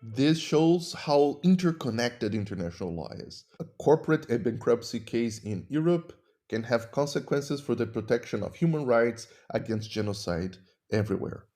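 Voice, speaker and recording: Brazilian man explaining law calmly. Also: medium pitch. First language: Portuguese. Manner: measured, didactic. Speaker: podcast host and human rights lawyer. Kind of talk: scripted explanatory narration.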